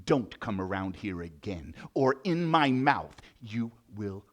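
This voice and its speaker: A man's voice: lowish voice, very animated, slow pace. Guy doing a big bad storybook lion, boastful n grumpy, blustery, voice swooping all over. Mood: grudging, warning. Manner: blustering, roaring, taunting. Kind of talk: children's storytelling performance.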